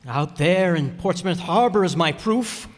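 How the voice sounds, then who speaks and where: A salty old sea dog acted by a man, high in pitch for a man. He is emphatic and folksy in old radio drama style, heard in an echoey hall. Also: expressive, unhurried. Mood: insistent. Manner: folksy sailor talk, emphatic. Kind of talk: old-time radio melodrama. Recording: echoey hall stage performance.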